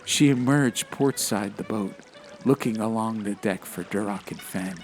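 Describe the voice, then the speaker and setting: Man, low voice, dramatic scripted story narration, literary delivery, clear background music. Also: measured pace. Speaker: audiobook narrator. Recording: music behind the voice.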